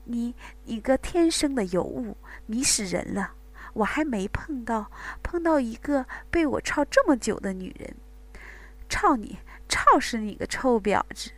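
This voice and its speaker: A woman voicing an aroused, boastful adult man in an intimate scene, speaking in panting, urgent bursts without deepening her voice. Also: animated, measured pace, a bit bright.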